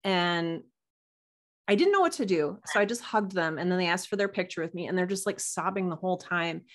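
A woman's voice: on the low side, animated, brisk. Woman telling personal stories, casual and emphatic. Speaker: podcast guest, writer and podcaster. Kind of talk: casual chat, personal anecdotes. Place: remote video call.

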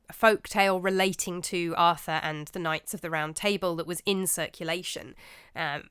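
Clear-voiced English woman, chatty podcast host. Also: lowish voice, a bit bright, dry recording, studio quality. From England. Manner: chatty, wry asides, hesitant phrasing. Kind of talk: informal solo commentary on literature.

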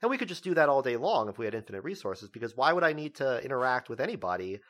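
A man's voice: medium pitch, big pitch swings, racing, a bit bright. A man co-hosting a casual podcast, explaining ideas at length in a lecturing way. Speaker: podcast co-host.

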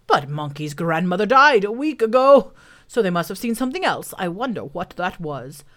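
A woman's voice: medium pitch, big pitch swings, normal speed, a bit bright. A woman voicing a suspicious, self-important wild boar in a storybook, speaking in an emphatic, officious way. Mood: gossipy, puzzled.

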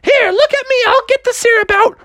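Feisty, very high-pitched cartoon frog mom. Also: female voice, brisk, a bit bright, dry recording, studio quality. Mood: chirpy, sassy. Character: feisty, bossy mother frog who busts ghosts.

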